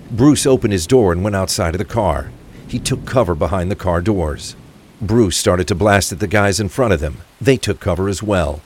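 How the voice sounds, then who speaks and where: Male narrator, very low voice, scripted crime thriller, faint rain and vehicle ambience. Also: a little hiss or hum. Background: rain, vehicle sounds.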